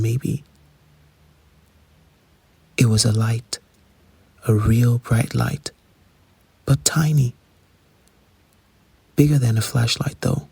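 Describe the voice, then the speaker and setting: A man's voice: medium pitch, vocal fry, a little mellow, husky, no echo, heavily acted. An actor playing an anxious young man who recounts a night lost outdoors in an informal, hesitant way, for a horror audio drama. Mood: tense recounting. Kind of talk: first-person horror audio drama monologue.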